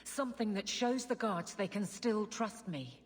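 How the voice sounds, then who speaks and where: An actress playing a blunt, earnest woman guard captain who gets flustered and halting, in cinematic video game dialogue. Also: medium pitch, animated, slightly rough. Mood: flustered, irritated.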